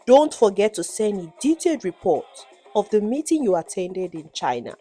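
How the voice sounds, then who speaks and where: A woman's voice: mid-range voice, very animated, moderate pace, dark tone, soft background music. A woman storyteller voicing a wronged, secretly rich young man who speaks firmly and knowingly in a folktale. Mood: commanding, smug.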